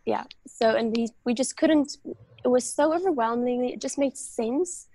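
Afrikaans-speaking South African woman, husky. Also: a little high, a little slow, mellow, no echo. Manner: thoughtful, frequent fillers and restarts. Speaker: guest, medical doctor. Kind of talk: casual interview talk. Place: home room on a video call. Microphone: earphone headset mic on a video call.

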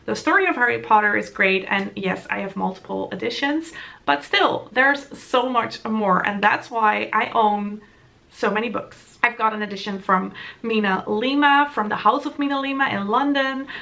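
A woman's voice: fairly high pitch, moderate pace, vocal fry, sharp tone. A woman in her thirties with a Dutch accent, a native Dutch speaker, presenting casually and enthusiastically as a video host. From Netherlands. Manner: chatty, enthusiastic. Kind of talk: casual presentation to camera and voice-over.

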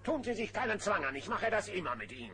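An actor playing an imperious, short-tempered villainous duke in a German children's cartoon audio play. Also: male voice, high-pitched, sing-song, fast, crowd noise. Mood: scornful, smug. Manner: imperious, shouting orders. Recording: noisy old cassette recording.

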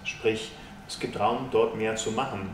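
A man in his thirties, a sponsorship executive, giving measured businesslike interview answers with frequent hesitations, in a stadium lounge. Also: fairly low pitch, fairly even intonation. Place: stadium lounge with glass walls, indoors. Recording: roomy, distant mic, faint hiss.

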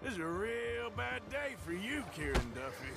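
A menacing outlaw gunslinger, speaks in a gruff American drawl. His video game lines sit under loud music, with a fairly high pitch for a man. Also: big pitch swings, moderate pace, exaggerated voice. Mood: coldly menacing. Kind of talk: video game character acting.

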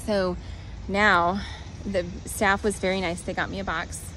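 A woman's voice: medium pitch, little pitch movement, measured pace, fairly bright tone, slight background noise, rough recording. A woman in her forties telling a rambling, flustered story into a phone held at arm's length outdoors, sighing often. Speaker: vlogger. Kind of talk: casual selfie vlog. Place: parking lot outdoors.

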